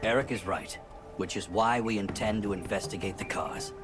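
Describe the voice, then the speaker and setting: A calm, resolute video game hunter, played by a man, with a low voice. The lines are terse and matter-of-fact, set over loud music. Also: normal speed, lightly acted. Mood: calm, decided. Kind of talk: video game character acting. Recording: game music behind dialogue.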